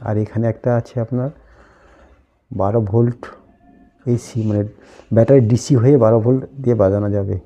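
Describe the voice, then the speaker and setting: A man's voice: medium pitch, fairly even intonation, fairly quick, pauses often, warm tone, lo-fi sound. A man giving a casual, plainly explained product unboxing commentary, going item by item. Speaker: host of an unboxing video channel.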